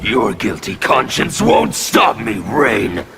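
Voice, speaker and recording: An actor playing a menacing undead revolutionary warrior in a video game, with a gravelly, distorted rasp and intimidating, declamatory delivery. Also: male voice, on the low side, big pitch swings, slow pace, background noise, heavily acted. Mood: cold threat. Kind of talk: video game villain dialogue.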